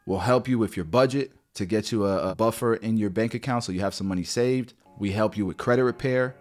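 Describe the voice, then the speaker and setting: A man's voice: on the low side, a little mellow. A man in his thirties giving a blunt, direct finance lesson to camera from a small home studio, speaking close to a podcast mic. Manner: direct, blunt, repeats "guys" and "right". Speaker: barber business mentor. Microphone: podcast mic near mouth.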